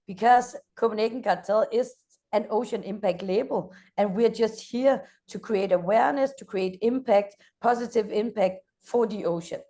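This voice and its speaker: A woman with a Danish accent, a founder in her thirties, answers interview questions with lively energy. She stops often on "uh" as she looks for English words. Her pitch sits in the middle for a woman. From Denmark. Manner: energetic, frequent "uh" hesitations. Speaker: guest, company founder. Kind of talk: interview conversation.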